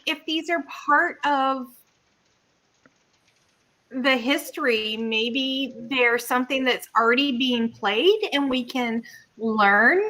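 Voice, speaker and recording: A woman plays an online tabletop game, talking casually with the group. Her voice is high, with a bright, forceful edge. She is heard over a voice call.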